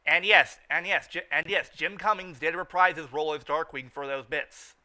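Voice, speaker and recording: Male fan-show host: higher-pitched, sharp bright tone, stumbling repetitive delivery, informal recap style. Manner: halting, repeats and restarts phrases.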